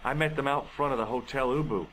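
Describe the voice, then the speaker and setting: A man's voice: medium pitch, fast talker, fairly bright tone, a little hiss or hum, lightly acted, poor audio. An actor playing a wry, inquisitive man, the hero of an adventure game, in dialogue and narration. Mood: matter-of-fact.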